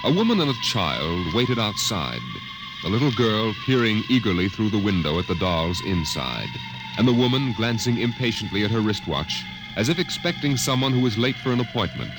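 A man announcing and narrating a 1950s radio drama in a dramatic, drawn-out delivery. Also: fairly low pitch, sing-song, poor audio. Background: music.

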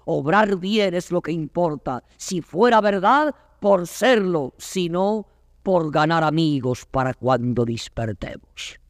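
An actor playing a proud young prince torn between dream and waking, declaiming classical Spanish verse in a grandiloquent manner. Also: male voice, fairly high pitch, no room sound, studio quality. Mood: stirred, wondering.

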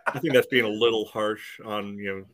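A man's voice: on the low side, fairly even intonation, brisk, fairly bright tone. A man in casual debate as a panelist on a soccer podcast.

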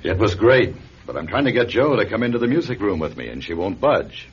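An actor playing a concerned, steady male friend who gently presses with questions in classic radio drama. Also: on the low side, fairly quick. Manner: solicitous, questioning. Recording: dull, noisy old radio recording.

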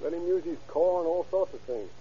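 An easygoing, folksy local man, played by a radio actor answers casually in a somewhat high voice. It is a vintage radio drama, muffled, with a faint hum behind it. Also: fairly quick, warm tone, lightly acted. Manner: casual, colloquial. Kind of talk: old radio crime drama acting.

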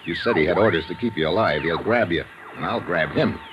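A man's voice: sits low, a little fast, noisy background, close to an everyday voice. Hard-boiled radio secret agent played by a man. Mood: brisk, steady. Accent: American. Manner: clipped, hard-boiled, matter-of-fact. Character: tough wisecracking American male secret agent, cool under fire. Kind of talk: 1950s radio adventure acting with first-person narration. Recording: dull old radio recording, music behind.

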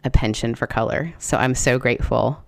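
A woman's voice: a bass voice, moderate pace, gravelly. A woman hosting a podcast, chatting casually with a friend and rambling with lots of fillers. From Southern US, Canada and Britain. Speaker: podcast host. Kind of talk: casual conversational interview. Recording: intro voiced over music.

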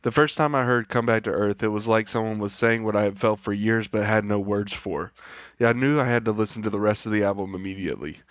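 A man reading out his listener message at a fast clip, earnest and grateful. His voice sits fairly low and the recording is dry and close. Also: no echo. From South Carolina. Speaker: podcast listener sending a voice message.

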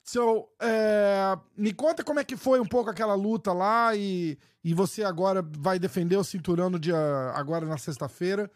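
A man's voice: high-pitched, normal speed, few pauses, no echo, clean studio sound. A man with a Brazilian Portuguese accent, hosting a casual interview in a loose, slangy way, often restarting his words. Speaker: podcast host.

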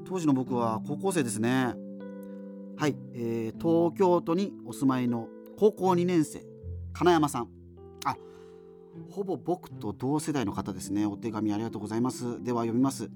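A man's voice: mid-range voice, close to an everyday voice. A man performs a radio drama host with a middling voice, musing in a self-effacing, wistful ramble. Music plays behind the scripted monologue. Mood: presenting, low-key.

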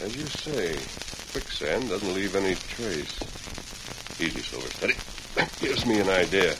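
A low, deep male voice a steadfast western hero. He speaks with deliberate authority, as in a 1940s radio adventure. The old recording hisses heavily. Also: sing-song. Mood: calm, commanding. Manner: deliberate, authoritative, soothes his horse. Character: heroic masked cowboy lawman, steady and commanding. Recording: noisy, hissing vintage radio recording.

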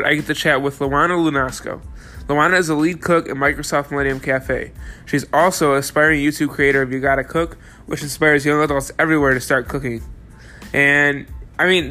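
A man's voice: on the high side, fairly bright tone. A young man hosting a podcast, talking enthusiastically with plenty of fillers as he introduces and interviews a guest. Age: young adult. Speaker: podcast host.

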